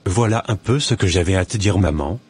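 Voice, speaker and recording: A man playing an earnest young man, low-voiced. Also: fairly quick, husky. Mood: smitten, earnest. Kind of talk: scripted cartoon dubbing.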